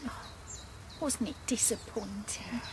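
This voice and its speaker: An actress playing a middle-aged village mum, homely and gossipy, in a radio drama. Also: female voice, a little high, slow, a little mellow, slight background noise. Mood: chatty, obliging. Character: chatty, knowing village mum and grandmother. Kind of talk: naturalistic radio drama acting.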